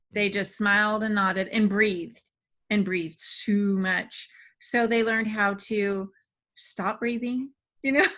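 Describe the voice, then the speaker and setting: A woman's voice: medium pitch, fairly bright tone. A woman speaking as a podcast panelist, giving advice in a casual discussion style.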